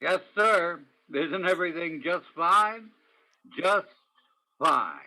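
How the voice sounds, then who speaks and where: A man acting a folksy, very bright old father. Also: high-pitched, slow pace, full character voice, low-quality recording. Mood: genial. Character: folksy, genial old father, proud of sons. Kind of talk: radio play acting.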